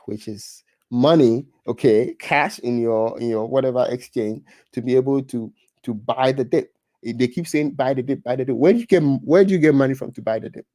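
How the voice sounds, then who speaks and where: A man's voice: mid-range voice, a little mellow, no echo. A man in his thirties from Ghana with a Ghanaian accent, chatting casually on a livestream and reading out viewer comments, often restarting and repeating words. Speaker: livestream host.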